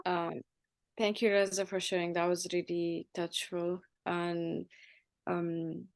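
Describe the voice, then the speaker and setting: A soft-spoken woman from Somalia speaks on a panel. Her voice is low and fairly flat, and her sentences are broken up with fillers. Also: takes it slowly.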